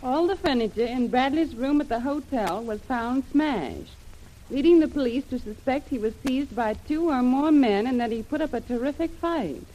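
An actress playing a bold, determined adventuress in a vintage radio serial, brusque and slangy, on an old noisy recording. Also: female voice, high-pitched, normal speed, mellow. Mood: reading aloud. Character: bold, tough, determined adventuress threatening with a gun. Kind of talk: 1930s American radio serial acting.

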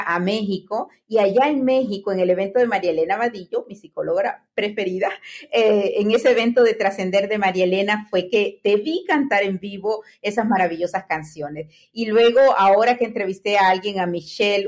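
A woman hosting a personal-growth podcast interview, speaking in an effusive, enthusiastic way and praising her guest warmly. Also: mid-range voice, brisk. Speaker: podcast host.

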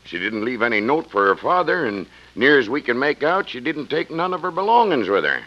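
An actor in an old-time radio drama playing a folksy, friendly frontier sheriff with an American Western drawl. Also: male voice, medium pitch, very animated, a little fast, natural voice. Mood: sober, troubled. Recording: dull vintage radio recording.

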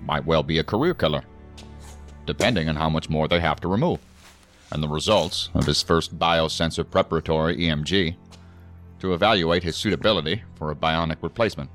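A commanding middle-aged boss of federal agents with a low voice. He speaks formally and with clipped authority, as in a sci-fi audio drama, with music clearly underneath. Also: fairly quick, fairly bright tone. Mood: brisk, businesslike. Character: stern, commanding middle-aged male federal agency superior. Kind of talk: science fiction audio drama acting.

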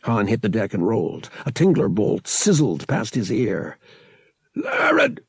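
A man reading an audiobook as a dramatic, suspenseful story with expressive delivery. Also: fairly low pitch, moderate pace, gravelly, slightly rough, dry recording, clean studio sound. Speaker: audiobook narrator.

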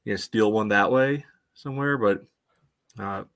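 A man co-hosting a soccer podcast, giving casual analysis in a halting way, peppered with "you know". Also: lowish voice, a bit bright, no room sound. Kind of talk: casual sports analysis chat.

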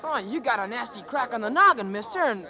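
An actress playing a brash bystander who explains things with slangy wisecracks, in 1940s radio acting style. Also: female voice, fairly high pitch, animated, a little fast, bright, forceful tone, muffled recording. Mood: wry. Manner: breezy, slangy.

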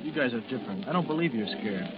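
A man's voice: mid-range voice, animated, fast, natural voice. An actor playing an earnest, naive young American Marine who admires his buddies, in a 1940s radio drama. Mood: earnest, admiring. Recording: muffled old radio, loud music underneath.

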